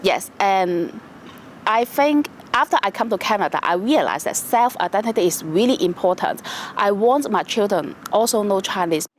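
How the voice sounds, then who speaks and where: A woman's voice: medium pitch, expressive, moderate pace, a little hiss or hum. A woman from Hong Kong with a Hong Kong accent, a native Cantonese speaker, answering plainly in an interview. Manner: simple, plain phrasing.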